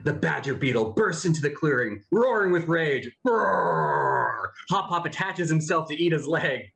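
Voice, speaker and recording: A narrator reads out scripted stage directions at a cartoon table read. The delivery is animated, with wide, lively movement in pitch. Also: normal speed.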